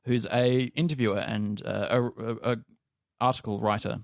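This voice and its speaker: A man with an Australian accent talks casually in a lowish register. He pauses and feels out his thoughts, and the sound is close and dry. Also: fairly even intonation, vocal fry, dry recording. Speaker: actor and podcast cast member. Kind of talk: casual discussion.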